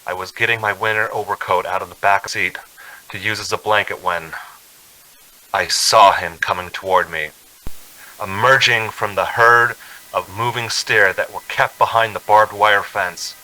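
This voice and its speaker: Man acting a haunted, desperate motorist, sharp intense voice. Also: on the low side, steady tone, moderate pace, low-quality recording. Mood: haunted, brooding. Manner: stammering, intense, anguished. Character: frightened, desperate young motorist haunted by a phantom hitchhiker. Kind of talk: radio drama acting, first-person dramatic narration.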